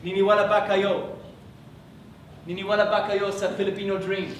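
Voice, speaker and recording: A man giving a motivational workshop speech in an emphatic, earnest manner, deliberately repeating key lines. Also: high-pitched, level tone, noisy background. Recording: music behind the voice.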